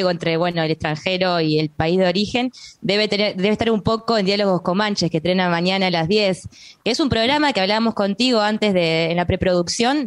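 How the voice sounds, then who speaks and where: A woman co-hosting a radio interview, asking questions while thinking aloud. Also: mid-range voice. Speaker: radio co-host.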